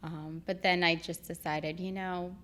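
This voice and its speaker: A woman's voice: fairly low pitch, measured pace. A woman in her thirties, born in Egypt, a real estate broker talking casually as a podcast guest, with plenty of "um"s as she recounts her path. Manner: relaxed storytelling with frequent "um". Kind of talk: casual interview.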